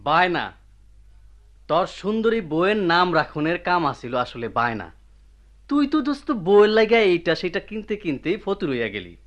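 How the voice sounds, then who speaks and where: An actor playing a meddling, mockingly preachy village friend with a rural Bangladeshi accent in a teasing radio drama style. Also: male voice, high-pitched, big pitch swings, a little fast, a bit bright. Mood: mocking, admonishing. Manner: teasing, admonishing. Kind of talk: Bengali radio drama acting.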